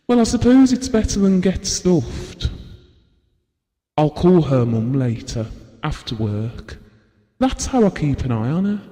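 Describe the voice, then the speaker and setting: A man's voice: medium pitch, warm tone, long reverb tail, natural voice. An actor playing a devoted, wistful husband who reminisces tenderly and reflectively about his marriage in an intimate audio drama. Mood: wistful, subdued.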